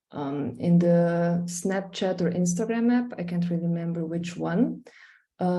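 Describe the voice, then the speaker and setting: A woman's voice: fairly low pitch, warm tone. A young woman from Slovenia with a Slovenian accent, presenting her art project over a screen share, talking hesitantly with many fillers and restarts. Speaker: visual artist presenting her work.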